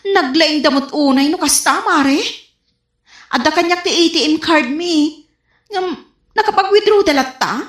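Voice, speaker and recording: Woman as gullible wife, high swooping voice, Ilocano accent, defensive and apologetic, echoey radio comedy drama. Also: animated, a little slow, pauses often, fairly bright tone. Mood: worried. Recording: roomy, echoing sound.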